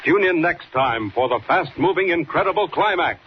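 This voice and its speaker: A man's voice: a little high, animated, moderate pace. A man narrating a 1940s radio serial as its announcer, in dramatic style. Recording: muffled, noisy old radio recording.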